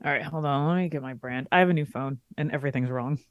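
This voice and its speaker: A woman's voice: low voice, fast talker, no room sound, dull audio. A woman chatting enthusiastically as a player, showing things off. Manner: enthusiastic, show-and-tell. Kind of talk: casual chat.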